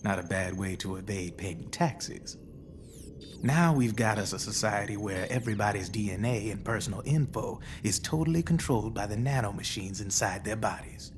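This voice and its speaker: A man playing low-voiced streetwise arms dealer. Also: moderate pace, noisy background, lightly acted. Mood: smug, emphatic. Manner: folksy, cocky, explanatory. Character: streetwise male arms dealer, smug and worldly. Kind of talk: cinematic video game acting, expository dialogue.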